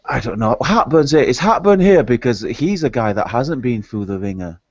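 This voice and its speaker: A man taking part as a guest in a casual online discussion, talking in a halting, stammering, musing way. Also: medium pitch, expressive, darkish tone, dry recording. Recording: dry, dull lo-fi call audio.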